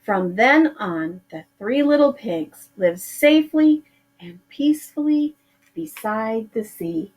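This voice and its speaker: Slow, expressive woman teacher reading aloud. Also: a little high, rough recording. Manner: expressive, drawing out words for effect. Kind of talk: reading a picture book aloud to pupils.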